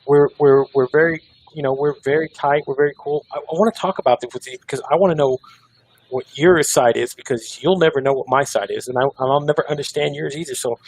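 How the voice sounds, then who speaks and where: A man's voice: on the high side, steady tone, fast talker, a little mellow, low-quality recording. A man hosting a roundtable discussion, encouraging and conversational. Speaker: host.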